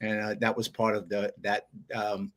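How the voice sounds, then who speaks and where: A man's voice: lowish voice, monotone. A man with a New York accent hosting a casual interview, chatty and friendly, with many fillers. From New York, USA. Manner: friendly, chatty, full of fillers and quick laughs. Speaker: interviewer, host.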